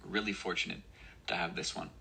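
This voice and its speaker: Male radio host, casual delivery, deep-set but bright voice, faint noise behind. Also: fairly even intonation, fairly quick, gravelly.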